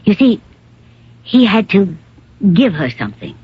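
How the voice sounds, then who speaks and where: An actress playing an elderly, poised and theatrical stage star with a condescending manner in a radio drama. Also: female voice, mid-range voice, very animated, unhurried, husky, dry recording, exaggerated voice. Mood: haughty. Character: elderly stage actress, poised, grandly self-possessed wife. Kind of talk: 1970s-80s radio drama acting. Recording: dull lo-fi old radio recording.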